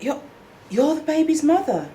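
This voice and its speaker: A woman voicing a nervous, stunned teenage boy in a storybook reading, halting and hesitant. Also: high voice, sing-song, dark tone, a little hiss or hum, voice pushed up.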